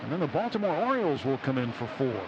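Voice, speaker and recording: A man calling baseball play-by-play on the radio in a measured, descriptive way, pausing between short phrases. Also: mid-range voice, big pitch swings, background noise. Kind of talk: live radio sports commentary. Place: outdoor ballpark broadcast booth. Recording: dull radio broadcast, loud stadium ambience. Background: loud outdoor ballpark ambience.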